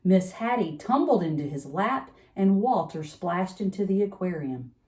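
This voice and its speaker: A woman's voice: on the low side, animated, normal speed, a little mellow. A woman storyteller reading a children's picture book aloud at bedtime, expressively, with chatty asides.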